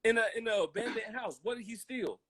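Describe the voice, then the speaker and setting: A man's voice: high voice, fairly quick, a bit bright, husky. An American man co-hosting a casual, impassioned podcast, talking emphatically, repeating phrases and acting out imagined quotes. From military family, grew up in Germany, Colorado and Hawaii. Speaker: podcast co-host.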